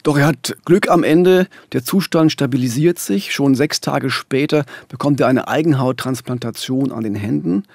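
A man's voice: medium pitch, normal speed, vocal fry, hardly any pauses, a little mellow, dry recording. Middle-aged man, dry studio sound. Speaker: podcast co-host. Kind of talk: true-crime podcast narration. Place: small radio studio. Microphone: studio microphone, headphones.

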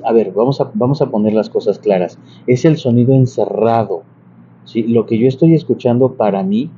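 A man, giving an informal video lesson in a chatty, halting way that often wanders into asides. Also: medium pitch. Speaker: church organist and psalm-singing teacher. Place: large bright interior beside an organ. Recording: muffled sound with clear background noise.

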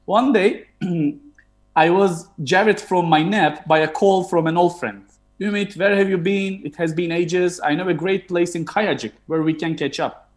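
A man in his thirties with a Turkish accent speaks over a video call from his home. He hosts a book talk in a hesitant way, full of fillers and restarts. His voice sits a little high for a man. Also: steady tone, unhurried. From Turkey. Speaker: historian and host. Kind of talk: moderating an online book talk. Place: home room, indoors.

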